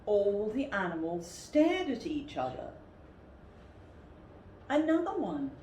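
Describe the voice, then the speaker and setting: A woman reading a picture book to children as a storyteller, with deliberate, dramatic emphasis. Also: on the high side, darkish tone. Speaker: storyteller, teacher. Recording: roomy echo, faint background noise.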